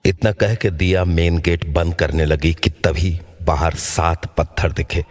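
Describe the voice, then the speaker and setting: A man's voice: deep voice, steady tone, unhurried. A man narrating a scripted horror story.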